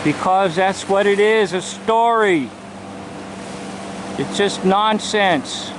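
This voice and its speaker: A vlogger telling a story to camera in a deliberate, emphatic, preachy way, with pauses between short phrases, outdoors on a handheld camera. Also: medium pitch. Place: outdoors at a seashore. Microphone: handheld camera microphone. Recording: loud surf and wind-like noise. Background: ocean waves.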